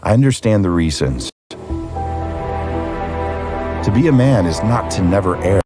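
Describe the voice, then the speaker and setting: A solemn young game hero with a low voice that rises and falls expressively. He speaks in grave, deliberate phrases, with loud dark music underneath. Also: sing-song. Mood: solemn, resolute. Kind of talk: scripted game dialogue.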